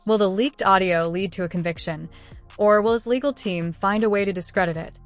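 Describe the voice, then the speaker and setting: A woman narrates scripted news in a dramatic style. Her pitch sits in the middle range for a woman, and faint music plays behind her. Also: a little fast.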